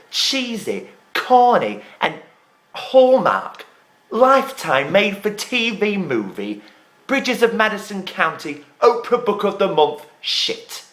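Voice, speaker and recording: A man performing a dramatic stage monologue as a desperate, scornful theatre graduate, pleading emphatically and repeating himself haltingly. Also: high-pitched, fairly bright tone, full character voice.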